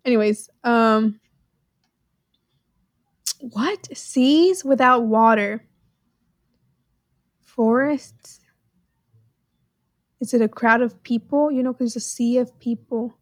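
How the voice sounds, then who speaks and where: Podcast co-host, woman, higher voice, dry home recording, animated and joking. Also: slow pace, pauses often, dry recording. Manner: animated, exclaims, jokes around. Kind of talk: casual chat solving riddles. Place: at home in her parents' house.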